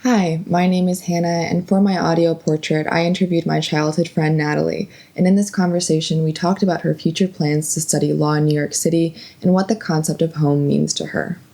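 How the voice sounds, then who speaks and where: A young woman, a student producer, reading a short scripted introduction to her interview piece in a relaxed, plain way. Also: low voice, fairly quick, gravelly, hardly any pauses.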